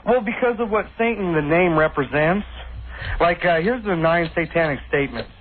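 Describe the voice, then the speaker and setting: A man's voice: on the high side, expressive, moderate pace. A man calling in to a radio show, debating casually and earnestly contradicting the host. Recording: tinny phone line, faint music behind.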